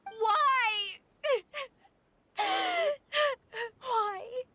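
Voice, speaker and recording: A woman's voice: very high voice, sing-song, very slow, sharp tone, dry recording, full character voice. A woman voicing a defiant, hot-tempered young girl in a video game, emotional and confrontational. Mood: tearful.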